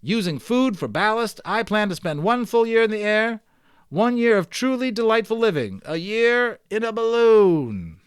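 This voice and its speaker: An exuberant balloon-adventuring professor, acted by a man reading a storybook, proudly declaims in a raised, theatrical voice. The sound is close and dry, and every phrase is pitched out to a crowd. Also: expressive, normal speed, clear, resonant tone, dry recording. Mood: proud, excited. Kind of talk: dramatic storybook read-aloud.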